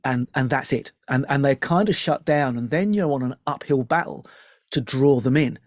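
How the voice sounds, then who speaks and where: A man's voice: medium pitch, moderate pace, no echo, clean studio sound. A man giving earnest, explanatory interview answers as a music business founder, often voicing imagined customers to make his points.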